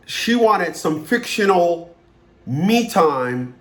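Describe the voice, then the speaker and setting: American male advice-show host, slightly high voice, informal and blunt, repeats phrases, swears freely. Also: very animated, extremely slow. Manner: blunt, profane, interjecting, repeats himself. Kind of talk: informal phone consultation, casual chat.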